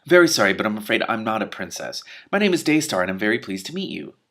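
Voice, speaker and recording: Guy reading as a polite young hero in a storybook, careful and formal, husky, animated. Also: on the low side, quick pace, heavily acted. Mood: courteous. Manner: courteous, formal, anxious to please.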